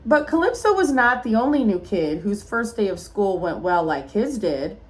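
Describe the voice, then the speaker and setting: A woman's voice: mid-range voice, moderate pace, poor audio. A woman reading a rhyming picture book aloud like a teacher, expressively, with small asides to young listeners. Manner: expressive storytelling, addressing children.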